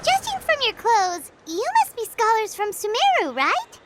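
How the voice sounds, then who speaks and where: A woman voicing a tiny, excitable, cheeky fairy sidekick with a squeaky childlike voice in an anime game. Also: moderate pace, heavy background noise, exaggerated voice. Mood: friendly, curious. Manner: refers to self in third person, exclamatory. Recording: loud game music behind. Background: game music.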